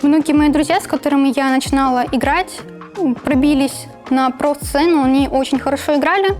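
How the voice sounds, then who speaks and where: High-voiced young woman in an interview. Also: brisk, a little mellow. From Cherkessk, Karachay-Cherkessia, Russia. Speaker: esports player. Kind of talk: interview answers. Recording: music behind the voice.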